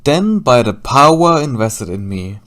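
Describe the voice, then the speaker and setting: A man's voice: medium pitch, sing-song, measured pace, a bit bright. A man playing a grudging, theatrical priest officiating a wedding in an audio drama. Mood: ceremonial.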